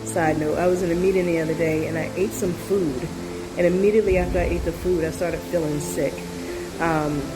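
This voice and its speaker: A woman's voice: lowish voice, fairly even intonation, brisk, background noise. A woman talking casually in a reaction video, nasal from a cold, rambling with many fillers and side notes. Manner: rambling, full of fillers and asides. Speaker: video commentator.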